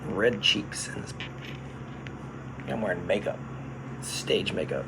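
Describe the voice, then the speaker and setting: A man who works as a radio DJ, chatting casually on a phone livestream from his studio, relaxed and explaining things to viewers. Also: lowish voice, slow pace, vocal fry, background noise.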